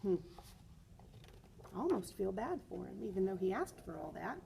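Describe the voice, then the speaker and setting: A woman's voice: mid-range voice, moderate pace, vocal fry, slightly rough. A woman reading a children's picture book aloud as a teacher, expressively, stressing words and adding chatty asides. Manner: expressive, with emphatic repeated words.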